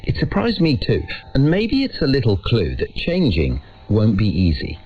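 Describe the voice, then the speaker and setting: A man's voice: fairly low pitch, huge pitch swings, normal speed, slightly rough. A man presenting a documentary, moving between scripted narration and casual interview questions. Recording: muffled, lo-fi radio sound.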